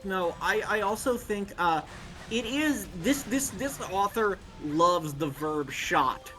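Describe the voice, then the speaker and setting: Bright, higher-voiced man chatting casually. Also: animated, slow pace. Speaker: co-commentator on a story stream. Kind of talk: casual chat. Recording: faint music in background. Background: music.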